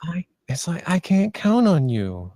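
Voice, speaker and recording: A tough, tired teenage girl acted by a woman at a table read, mellow in tone and unhurried. She is terse and guarded, the one in charge of the younger kids. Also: on the low side, big pitch swings, dry recording. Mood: tense, reproachful.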